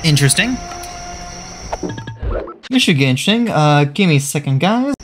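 A man's voice: a little high, big pitch swings, moderate pace. Nasal man streaming a game. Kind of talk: casual let's play commentary. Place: small room indoors. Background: game music and sounds.

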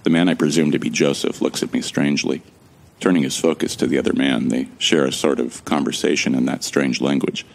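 A man with an extremely deep voice narrates a sci-fi audio drama in the first person. His tone is wry and casual, with jokes and the odd swear word, and a gravelly fry runs through his speech. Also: steady tone, brisk. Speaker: narrator and protagonist.

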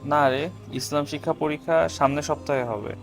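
A man's voice: fairly high pitch, fairly even intonation, normal speed, gravelly. A young man playing an agreeable, devout student chatting earnestly with his friend in scripted cartoon dialogue, over music.

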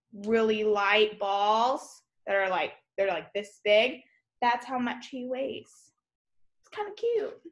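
Bright, high-pitched young woman reading to children. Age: young adult. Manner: expressive, child-directed, exclaims and explains. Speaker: elementary school teacher. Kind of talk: read-aloud of a children's nonfiction picture book with informal asides. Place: room at home, indoors.

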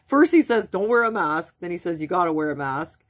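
A woman's voice: fairly low pitch, very animated, brisk. A woman in her forties with a stuffy nose from a cold, chatting casually into her webcam at home as she reads and translates news articles. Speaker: vlogger. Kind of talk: casual commentary reading news aloud. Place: small room at home, indoors. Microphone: webcam at desk.

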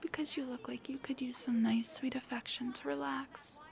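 A woman playing a playful, clingy, affectionate young girlfriend in an intimate ASMR roleplay, soft and breathy, often near a whisper, with a cutesy, pleading way of talking. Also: sits high, fairly even intonation, moderate pace. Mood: teasing, affectionate.

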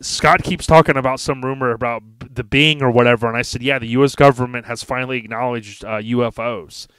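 A man hosting a casual podcast, talking in a relaxed, chatty, friendly way. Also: mid-range voice, a little fast, clear, resonant tone, no room sound. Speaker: podcast host.

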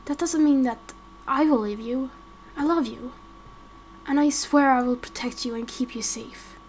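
A woman voicing a gentle, hesitant, protective young father in an amateur game roleplay. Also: sits high, measured pace, a little hiss or hum. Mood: tender, protective.

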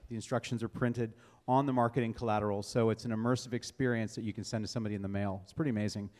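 A man's voice: fairly low pitch, steady tone, rapid-fire, a little mellow, big-room sound. A man moderating a panel discussion, putting questions and explaining to a group. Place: indoor event space before a small audience.

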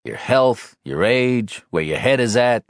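A man's voice: mid-range voice, lively intonation, a little slow, clear, resonant tone, no room sound, voice pushed up. A man reading an audiobook voices a tired, defensive husband close to his own natural voice, in a weary, clipped way. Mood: pleading.

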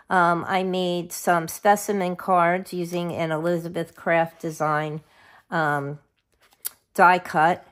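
Middle-aged lady a bit scratchy from a cold, rambling through a craft how-to. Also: fairly low pitch. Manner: chatty, self-interrupting, forgets names of supplies. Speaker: craft video host. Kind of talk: casual crafting tutorial.

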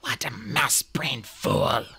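A man voicing an anguished, aging female cat clan leader in a storybook reading, with a raspy, breathy, hissing delivery. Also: high voice, huge pitch swings, sharp tone, voice pushed up, heavily acted. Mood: bitter self-reproach. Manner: slow, hissing, muttering delivery.

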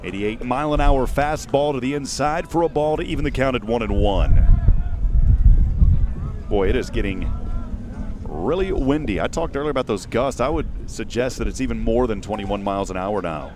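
A man in his thirties calling a baseball game live in short, measured phrases, speaking into a headset mic outdoors. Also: medium pitch, animated, noisy background. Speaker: radio play-by-play baseball announcer. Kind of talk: live sports commentary. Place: outdoors at a windy ballpark. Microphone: headset boom mic.

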